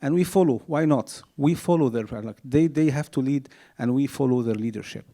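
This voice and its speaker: A middle-aged man from Egypt, introducing himself on a panel with halting speech and many fillers. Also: medium pitch, mellow.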